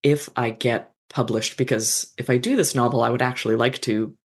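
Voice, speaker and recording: A fanfiction author in their thirties, a guest in a casual podcast interview, speaking thoughtfully and self-deprecatingly with many fillers and pauses. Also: mid-range voice, fairly even intonation, slightly rough.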